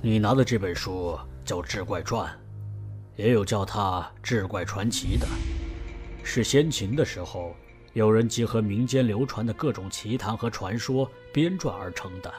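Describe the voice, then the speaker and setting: Older family elder voiced by a man, low and measured, music behind. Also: close to an everyday voice. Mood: explaining. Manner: calm, measured explaining, resigned sighs. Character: older male family elder keeping old lore. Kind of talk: audio drama acting.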